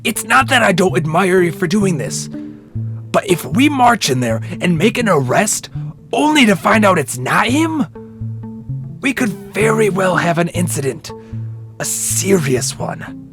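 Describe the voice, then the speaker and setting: A man's voice: high voice, very animated, a little slow, over music, exaggerated voice. A man playing a cautious, level-headed ninja commander in a fan audio drama, reasoning things out as he explains. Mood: grave, worried.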